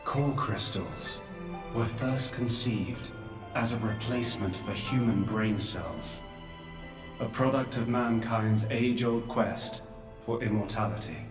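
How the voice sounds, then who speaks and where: A male actor playing an ancient, remorseful creator in a video game, speaking gravely and solemnly in measured monologue. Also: on the low side. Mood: solemn explaining. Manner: grave, deliberate, measured delivery. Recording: echoey, distant, under loud music.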